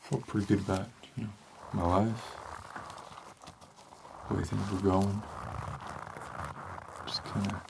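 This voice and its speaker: A man performing an ASMR roleplay as a world-weary homicide detective, rambling hesitantly in a deadpan manner. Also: lowish voice, slow pace, vocal fry, pauses often, background noise. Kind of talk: slow ASMR roleplay monologue.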